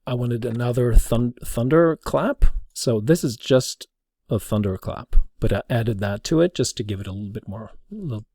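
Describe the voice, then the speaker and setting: Mellow, dry-sounding man explaining. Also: medium pitch, dry recording. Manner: halting, explanatory. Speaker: teacher demonstrating audio production. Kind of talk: informal spoken tutorial.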